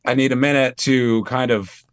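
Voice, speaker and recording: A man's voice: mid-range voice, moderate pace, smooth, dry recording. Chatty man on a video call, dry sound. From Maine. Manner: chatty, animated, self-deprecating. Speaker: guest, tribute performer. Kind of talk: casual interview over video call.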